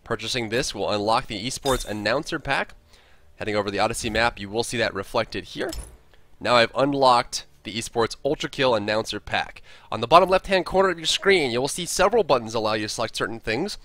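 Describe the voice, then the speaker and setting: A man's voice: medium pitch, fairly bright tone, no room sound. A man presenting a scripted video tutorial, explaining things step by step. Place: close, dry voice-over recording.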